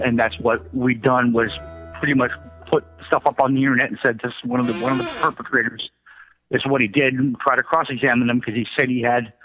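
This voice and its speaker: A middle-aged man telling his own story as a radio interview guest, in a halting, self-correcting way. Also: medium pitch. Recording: thin phone-like line.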